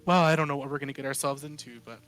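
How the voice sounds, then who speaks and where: Man role-playing, medium-pitched voice. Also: steady tone, rapid-fire, a bit bright, faint music behind. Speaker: tabletop game player. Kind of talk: casual role-play narrated in third person.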